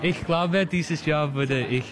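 A man's voice: on the high side, slow pace. A young man from Hong Kong with a foreign accent in German, not his native language, answering casually and hesitantly in an interview. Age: young adult. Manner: hesitant, word-by-word phrasing. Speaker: student being interviewed. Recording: loud music and crowd noise. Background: loud music and crowd.

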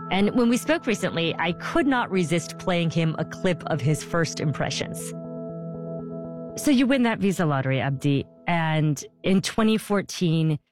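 A woman hosting a radio interview, asking probing questions. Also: on the low side. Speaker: host.

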